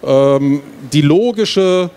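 A man's voice: a little high, expressive, very slow, warm tone. A middle-aged man giving an informal, explanatory lecture to an audience, as a professor presenting his work. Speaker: professor of game design, presenter. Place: stage at an indoor book fair. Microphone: handheld microphone. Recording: clear background noise of fair hall. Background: trade fair hall ambience.

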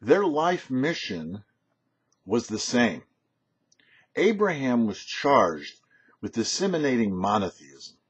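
Animated older man, husky voice, slow delivery. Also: mid-range voice. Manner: emphatic, with rhetorical questions and pauses. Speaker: rabbi and host of a weekly Torah show. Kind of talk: short religious teaching to camera. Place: small home office, indoors. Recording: dull, lo-fi webcam sound.

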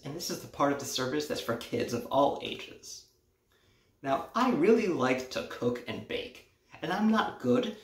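A young man giving a conversational children's message at church, telling it simply with emphatic repetition. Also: medium pitch. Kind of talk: children's sermon, told conversationally. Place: home kitchen, indoors. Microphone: camera a few feet away. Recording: echoey room.

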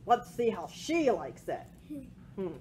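A woman in her forties reading a picture book aloud to children in an expressive way, with asides about the pictures. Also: medium pitch, slow pace. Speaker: library storytime presenter. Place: small indoor room with bookshelves. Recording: home recording with faint background noise.

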